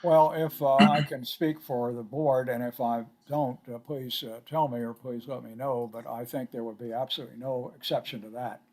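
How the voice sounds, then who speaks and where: An older man chairing a board meeting on a video call, courteous and halting with many filler sounds. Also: medium pitch, steady tone, darkish tone, low-quality recording.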